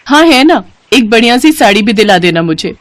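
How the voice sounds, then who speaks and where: A woman's voice: a little high, sing-song, moderate pace. A woman voicing a farmer's devoted, practical village wife in a moral-story cartoon. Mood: happy, coaxing.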